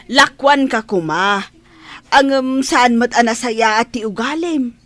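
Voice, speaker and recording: A woman's voice: a little high, measured pace, a bit bright, dry recording. A woman with an Ilocano accent playing a polite but wary fish vendor in a radio drama. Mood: polite, evasive.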